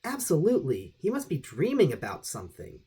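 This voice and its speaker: Confident, inquisitive hypnotist played by a male reader, probing and matter-of-fact, animated intonation, for an audiobook. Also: a little high, fairly quick, breathy edge, full character voice.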